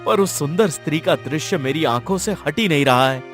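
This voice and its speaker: A man's voice: on the high side, lively intonation, fairly quick, fairly bright tone, faint music behind. A man playing a lovestruck, commanding king in a cartoon story, speaking in formal, stately diction. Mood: earnest, regal. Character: royal king, commanding, lovestruck by a dream woman. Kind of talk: Hindi cartoon story dialogue.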